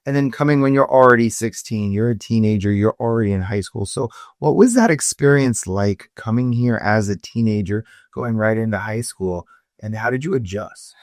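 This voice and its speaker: A man's voice: lowish voice, animated, normal speed, no echo, studio quality. A man who grew up in South Florida, hosting a podcast interview in an upbeat, conversational way. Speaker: podcast host, attorney. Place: podcast studio.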